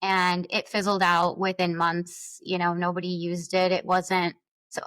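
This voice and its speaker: A woman's voice: fairly low pitch, monotone, a little slow, a bit bright, dry recording. A woman speaking as a podcast guest, telling experiences and giving advice in an emphatic, conversational way. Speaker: podcast guest, influencer marketer.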